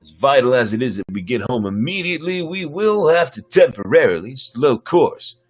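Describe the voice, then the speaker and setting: A man's voice: mid-range voice, very animated, moderate pace, breathy edge, soft background music. A man playing a stern, sardonic starship captain with a dry, commanding manner in a sci-fi story reading. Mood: formal.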